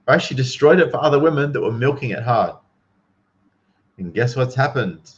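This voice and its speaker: Aussie guy ranting casually on a stream, mid pitched voice, slangy and emphatic, kinda dull lofi sound. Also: animated, normal speed. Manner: incredulous, emphatic, informal slang. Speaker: livestream commentary host. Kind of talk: casual opinionated commentary to viewers.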